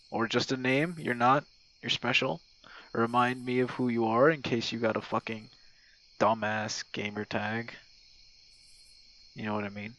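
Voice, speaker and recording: A man's voice: medium pitch, a bit bright, poor audio. A young man leaving a casual, laid-back audio message for a gamer friend, rambling and self-deprecating with plenty of swearing. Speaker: online audio roleplay creator and streamer. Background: crickets and night sounds.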